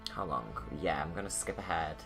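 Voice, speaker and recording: Low-pitched voice, casual film commentary, enthusiastic fan, rambling, faint music behind. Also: expressive, brisk. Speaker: video creator giving commentary.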